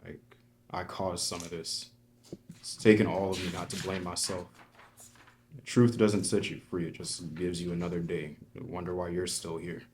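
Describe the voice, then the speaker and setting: An actor reading the part of a guilt-ridden man confessing quietly at a screenplay table read. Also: low voice, level tone, moderate pace, slight background noise, lightly acted. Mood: guilty, confessional.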